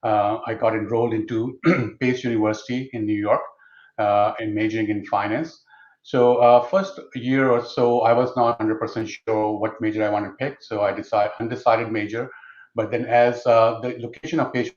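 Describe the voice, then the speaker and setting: Man, fifties, from Pakistan, low voice, hesitant, muffled roomy video call. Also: fairly even intonation, moderate pace, a little mellow. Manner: hesitant, many "uh" fillers. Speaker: guest, IT executive.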